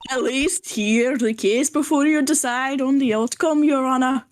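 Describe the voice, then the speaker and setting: A woman's voice: high-pitched, unhurried, slight background noise. A woman voicing a young, earnest defense attorney in a live fan dub of a courtroom game. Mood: protesting.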